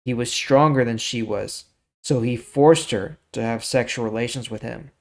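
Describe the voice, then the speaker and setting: A man's voice: medium pitch, moderate pace. A man hosting a Bible reading, moving between plain reading and casual, explanatory commentary. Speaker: host of a daily Bible reading series. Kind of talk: scripture reading with informal commentary.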